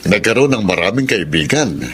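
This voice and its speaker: Dignified filipino dad, low voice, firm but thankful, faint noise behind, radio drama. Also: male voice, sing-song, moderate pace, a bit bright. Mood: grateful, firm. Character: dignified, grateful well-off father of a schoolboy.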